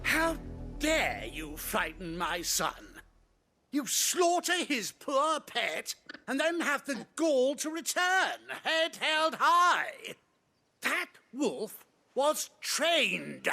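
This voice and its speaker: A man's voice: extremely high pitch, big pitch swings, drawn-out words, sharp tone, soft background music, full character voice. A man playing a pompous, cruel British nobleman in a video game, theatrical and haughty. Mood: outraged, contemptuous.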